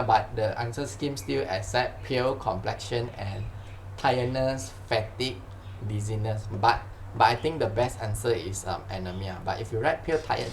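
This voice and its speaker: A man's voice: medium pitch, creaky, slight background noise, low-quality recording. A man with a Malaysian accent teaching science informally, repeating phrases and tagging sentences with "lah" and "huh". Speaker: science tutor. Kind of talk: informal exam-question lesson.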